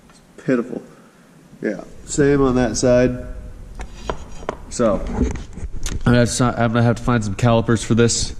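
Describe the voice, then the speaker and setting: A man's voice: mid-range voice, fairly even intonation, big-room sound, noisy background. A young man with a badly stuffed-up, nasal voice from allergies, rambling casually and cursing through a garage motorcycle walkthrough. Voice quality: nasal, plugged-up. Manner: rambling, profane, halting with many uhs. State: congested, stuffed-up nose from allergies. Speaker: motorcycle DIY vlogger. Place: home garage workshop.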